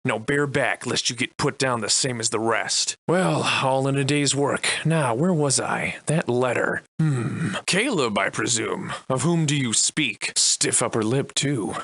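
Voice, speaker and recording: An actor playing a wry, defiant Victorian-era adventurer and monster slayer in a video game, soliloquizing in florid, old-fashioned diction. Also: male voice, medium pitch, measured pace, few pauses, fairly bright tone, dry recording. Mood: wary, defiant. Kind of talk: theatrical video game voice lines in archaic English.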